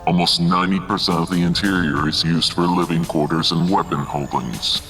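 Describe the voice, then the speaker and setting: Man as a very deep, calculating mastermind. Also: steady tone, over music. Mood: cool, pleased. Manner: measured, formal, unhurried. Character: cool, calculating male mastermind of a secret organization. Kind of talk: audio drama acting.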